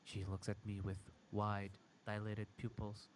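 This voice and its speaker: A man reading a first-person horror story aloud in a halting, dramatic way. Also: lowish voice, completely flat delivery, a little hiss or hum. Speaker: amateur story reader.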